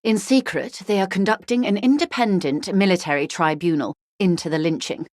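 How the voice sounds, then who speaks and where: Woman doing a composed shrewd corporate negotiator lady for a video game, lowish voice, very dry recording, measured and formal, grave and frank. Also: a little mellow, dry recording, natural voice. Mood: grave, matter-of-fact. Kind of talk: scripted video game character dialogue.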